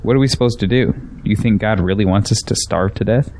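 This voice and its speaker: Guy acting a pragmatic young dude who argues back, low voice, kinda defensive, audio play. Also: quick pace, a little mellow, slight background noise, close to an everyday voice. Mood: challenging. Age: young adult. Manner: argumentative, defensive. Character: defensive, pragmatic young man arguing compromise.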